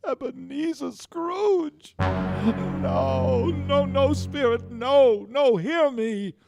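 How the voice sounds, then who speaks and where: An actor playing an old miser in a theatrical, exclamatory radio drama style, full of impassioned feeling. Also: male voice, very high voice, animated, drawn-out words, vocal fry, over music, heavily acted. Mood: desperate pleading. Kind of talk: golden age radio drama acting.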